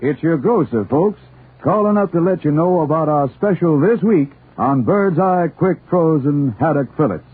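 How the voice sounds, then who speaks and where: A man with an American accent delivering a folksy 1940s radio commercial as a pitchman. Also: animated, normal speed, slightly rough, no echo. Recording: muffled, tinny vintage radio recording.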